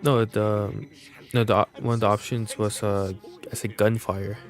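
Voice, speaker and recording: A young man chatting casually as a game streamer, rambling and halting as he goes. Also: on the low side, little pitch movement, faint music behind. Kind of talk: casual livestream chat.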